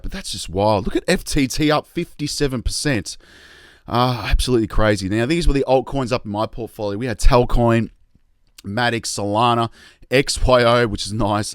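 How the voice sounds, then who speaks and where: An Australian man hosting a casual investing vlog, chatty and excitable, tired and losing his voice. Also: medium pitch, no echo, clean studio sound. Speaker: crypto YouTube host.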